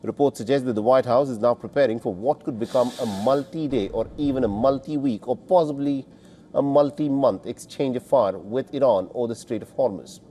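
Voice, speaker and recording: A man's voice: medium pitch, brisk, mellow, slight background noise. A man reading scripted news narration as a presenter, in a formal, emphatic delivery.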